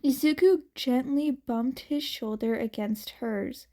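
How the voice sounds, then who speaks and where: Soft-spoken woman reading a story. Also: sits high, takes it slowly. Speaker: fanfiction narrator. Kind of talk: amateur audiobook narration.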